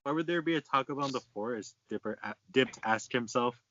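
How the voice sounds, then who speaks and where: Bright-voiced man stumbling through a story. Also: medium pitch, fast talker. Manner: stumbling reader, sweary, breaks off to comment. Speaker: co-reader of fan fiction. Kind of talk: casual read-aloud with banter. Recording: dry, dull lo-fi close mic.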